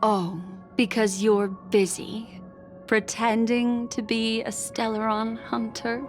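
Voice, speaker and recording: A poised game envoy woman who needles with knowing condescension. Her theatrical lines come unhurried, with music clearly playing behind her. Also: on the high side, level tone. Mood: taunting. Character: poised, needling female envoy and exposer of lies. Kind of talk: video game voice acting.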